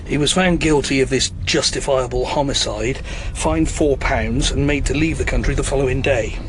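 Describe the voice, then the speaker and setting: A man's voice: medium pitch, moderate pace, background noise, close to an everyday voice. An actor playing a plain-spoken, wry male local policeman in a radio drama, matter-of-fact and familiar. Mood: dry, matter-of-fact.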